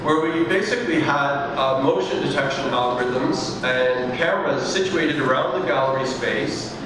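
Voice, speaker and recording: A man's voice: medium pitch, moderate pace, noisy background. A man giving a live science talk with slides, explaining step by step with frequent fillers and restarts. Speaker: speaker at a public science talk. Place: event room with projected slides. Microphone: hand microphone, recorded from the audience. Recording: echoey room, taken from far off.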